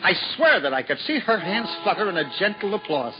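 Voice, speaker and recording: A humble, devout juggler, earnest and self-deprecating. His pitch swings up and down with feeling. It sounds like an old radio broadcast, dull and echoing, with music under it. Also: big pitch swings, fairly quick. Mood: awed. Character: humble simple-hearted male juggler, devout. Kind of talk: 1950s radio drama acting.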